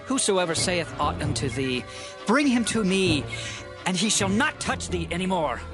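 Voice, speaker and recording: A man's voice: high-pitched, big pitch swings, normal speed, over music. An actor playing an authoritative king, regal and declamatory, in a dramatized scripture production. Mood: commanding. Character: authoritative king granting a petitioner protection. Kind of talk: dramatized Bible audio acting.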